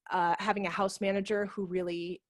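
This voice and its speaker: A woman in her thirties talks through practical details in an informal, explanatory way. Her pitch is average, and the sound is close and dry, from a headset on a video call. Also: level tone, brisk, no room sound. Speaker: guest speaker, theatre managing director. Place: room at home. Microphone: headset microphone on a video call.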